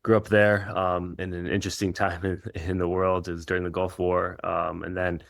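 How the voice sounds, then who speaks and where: A middle-aged man who grew up in Israel, Kenya and the United States, answering interview questions in an informal, reflective, halting way as a coach. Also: low-pitched, completely flat delivery, creaky, a bit bright, no echo, clean studio sound. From Israel and Kenya, United States from age 13. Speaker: professional soccer coach.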